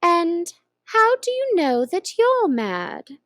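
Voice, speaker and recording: A woman doing a prim, high-voiced storybook girl. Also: sing-song, slow, fairly bright tone, no echo, studio quality, exaggerated voice. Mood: earnest. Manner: prim, earnest questioning. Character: polite, curious young girl. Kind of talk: storybook reading.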